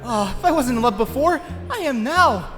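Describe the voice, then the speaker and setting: Man playing a high-pitched, anxious teenage boy. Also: expressive, moderate pace, a bit bright, big-room sound, faint music behind. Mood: eager, earnest. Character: anxious, brooding teenage boy, science-obsessed. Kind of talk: acted comic dub with inner monologue.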